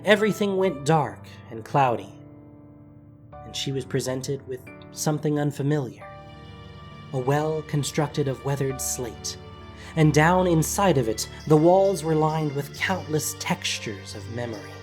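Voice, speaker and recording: Audio drama narrator, mid-pitched voice, scripted prose delivery, faint music underneath. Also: lively intonation, unhurried.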